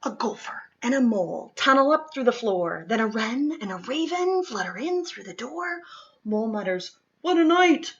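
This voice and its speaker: A woman's voice: on the high side, big pitch swings, normal speed, a bit bright, dull audio. A woman reading a children's picture book aloud expressively, dropping to whispers at times. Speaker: storybook reader.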